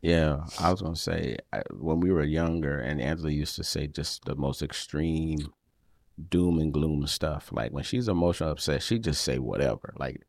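A man with a deep voice that carries, co-hosting a casual chat with his wife, animated and getting louder when excited. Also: moderate pace, gravelly, dry recording, studio quality. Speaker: podcast co-host. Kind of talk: casual married-couple chat.

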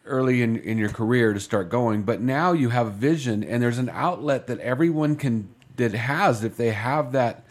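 Brief male radio host, middle pitch, easygoing and joking, studio interview. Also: normal speed, hardly any pauses. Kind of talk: casual interview chat.

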